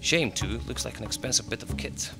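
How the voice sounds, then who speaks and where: A man playing a gruffly matter-of-fact, grudging crime-scene coroner in an amateur radio play. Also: mid-range voice, lively intonation, fairly quick. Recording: music and rain under the voice.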